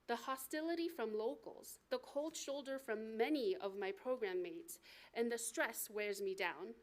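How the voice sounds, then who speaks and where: Higher-voiced American woman reading her memoir. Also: a little slow. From United States. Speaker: author reading from own book. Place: live book reading at a library event.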